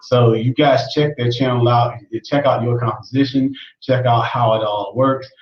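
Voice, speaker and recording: A man's voice: medium pitch, fairly even intonation, moderate pace, highs cut off, slight background noise. Jovial male live-show co-host; echoey room, off-mic. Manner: jovial, laughs often. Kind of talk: casual live-stream chat. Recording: roomy sound, distant from mic.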